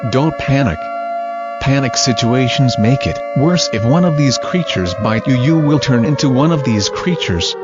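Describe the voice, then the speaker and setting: A man's voice: mid-range voice, animated, moderate pace. Muffled, noisy male emergency alert announcer. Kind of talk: scripted emergency broadcast announcement. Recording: dull lo-fi audio, loud noise.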